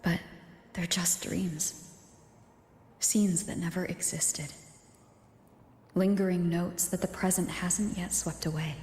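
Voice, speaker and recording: An actress voicing a young, gentle, earnest wandering hero in a video game, speaking in a measured, reflective way like a narrated letter. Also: female voice, lowish voice, fairly even intonation, a little hiss or hum. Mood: wistful. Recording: echoey, long reverb, music behind.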